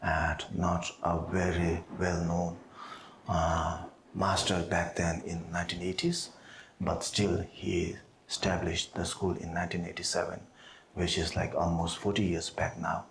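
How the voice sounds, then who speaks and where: Deep, flat-voiced monk, Tibetan native speaker. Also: male voice, gravelly. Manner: halting, many pauses and fillers. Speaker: monastery head, Buddhist teacher. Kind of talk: opening remarks before a teaching. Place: monastery hall. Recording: roomy hall, faint background noise.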